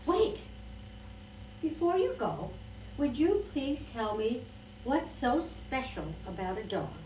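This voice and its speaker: A woman's voice: medium pitch, very animated, slow, echoey room, distant mic, a little hiss or hum, pitched above the performer's own voice, low-quality recording. A woman voicing an eager, pushy man who runs an animal shelter, coaxing like a salesman in a storybook reading. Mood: persuasive. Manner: coaxing, exclamatory sales patter.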